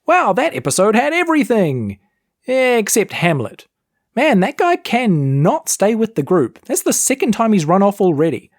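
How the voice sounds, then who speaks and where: Female podcast narrator, medium pitch, animated intonation, playful scripted recap, dry recording. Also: moderate pace, no room sound, faint music behind.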